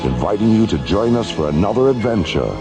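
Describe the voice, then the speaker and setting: Low voiced guy hosting a radio play, solemn measured announcer read, music behind him. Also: sing-song. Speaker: host and narrator. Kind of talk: scripted dramatic narration and credits.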